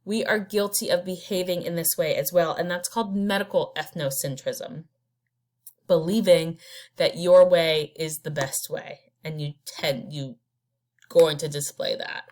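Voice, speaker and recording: A woman's voice: deep voice, fairly even intonation, measured pace, darkish tone. A woman teaches from her slides. She keeps it conversational and unpolished, with fillers and restarts between her points. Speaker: instructor. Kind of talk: recorded slide lecture.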